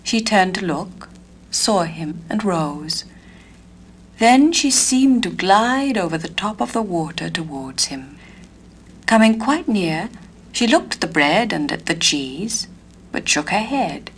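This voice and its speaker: A woman's voice: mid-range voice, a little hiss or hum. English woman reading a fairy tale. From England. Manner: measured, gentle and expressive. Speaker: storyteller, actress. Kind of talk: scripted storybook narration.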